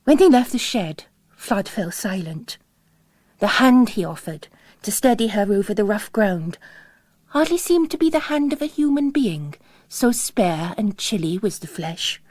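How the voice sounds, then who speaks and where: A woman's voice: medium pitch, animated, unhurried, a little mellow, no echo. A woman narrating literary fiction in a dramatic, scripted storytelling style.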